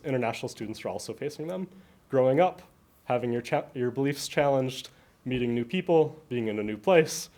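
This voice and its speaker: A man's voice: medium pitch, a little mellow. A man giving an informal presentation to an audience, explaining things in a conversational way as presenter and moderator.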